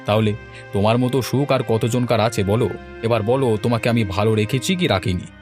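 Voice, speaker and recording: Casting: male actor as cheerful well-off cartoon husband, rapid, talkative, coaxing and self-satisfied. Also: mid-range voice, a little mellow, faint music behind, close to an everyday voice. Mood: boastful. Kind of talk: Bengali cartoon dialogue.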